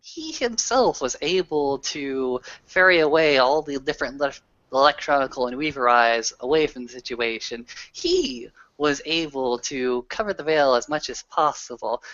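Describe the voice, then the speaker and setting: A man's voice: mid-range voice, expressive, moderate pace, a bit bright. A man telling an in-character roleplay story in a theatrical, mock-formal way peppered with swearing. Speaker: tabletop roleplay player. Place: online group voice call. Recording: dull lo-fi voice call.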